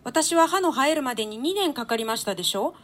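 A woman's voice: high-pitched, animated, fast, a bit bright. A woman playing a precocious, cheeky little royal boy in a read-aloud stage play, with a childlike, pert way of telling things. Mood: pert, chatty.

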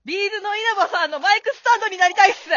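A woman's voice: very high-pitched, rapid-fire, a bit bright. A woman chatting casually in an enthusiastic, gushing manner. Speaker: cast member on panel. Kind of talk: casual chat. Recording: dull, lo-fi sound.

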